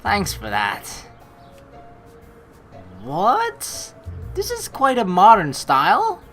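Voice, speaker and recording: A young woman reading a visual novel aloud with joking, swearing asides, animated and quick to laugh. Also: mid-range voice, faint music behind. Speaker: let's play streamer reading a visual novel.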